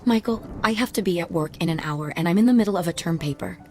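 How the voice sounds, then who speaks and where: An actress playing a bitter, guarded ex-wife in a radio drama, speaking in a sardonic, clipped, reproachful way. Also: female voice, lowish voice, quick pace, lightly acted. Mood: curt, guarded. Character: bitter, guarded, sarcastic ex-wife, no-nonsense woman. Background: faint background music.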